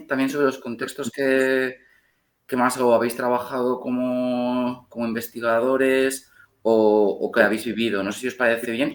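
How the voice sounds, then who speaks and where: A man hosting a podcast interview, relaxed and hesitant as he sets up questions for the guests. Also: mid-range voice. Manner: relaxed, hesitant, with fillers and restarts. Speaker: podcast host. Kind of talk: casual interview moderation.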